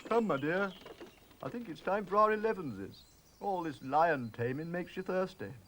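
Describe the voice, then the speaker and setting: A man voicing a plummy, bewildered old English gentleman. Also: high voice, expressive, moderate pace.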